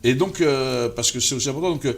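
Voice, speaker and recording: Fast-talking stammering male host. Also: mid-range voice, creaky, a little hiss or hum. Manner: rambling, stammering repeats. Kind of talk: casual interview questions.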